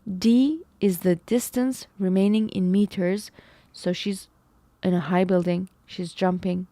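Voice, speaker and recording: Woman streamer, slow and musing, gravelly and mellow, dry close sound, slightly worn voice. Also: mid-range voice, steady tone, dry recording. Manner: talks to chat, muses and second-guesses herself. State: feels her voice is going. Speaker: live streamer. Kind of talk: thinking aloud while solving math problems.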